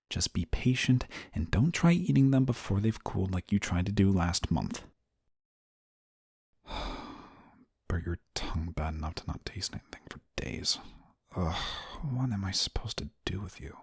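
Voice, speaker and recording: Man acting as an exasperated low-voiced guardian angel. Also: a little mellow, breathy edge, dry recording, clean studio sound, full character voice. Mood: weary scolding. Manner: weary, scolding, formal wording, sighs often. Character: exasperated, rule-bound male guardian angel, secretly soft-hearted. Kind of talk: scripted audio roleplay addressed to the listener.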